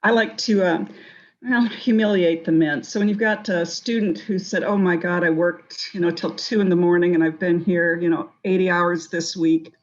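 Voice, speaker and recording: A woman's voice: on the low side, steady tone, moderate pace, muffled recording. A woman giving advice on a discussion panel in an assertive, wry and humorous way. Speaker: round table panellist.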